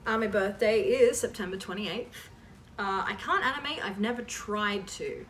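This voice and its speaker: A woman's voice: mid-range voice, normal speed, fairly bright tone. A woman in her twenties answering questions in a casual, rambling chat, often interrupting herself. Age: 20s. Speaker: online voice performer answering fan questions. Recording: distant mic with clear background noise.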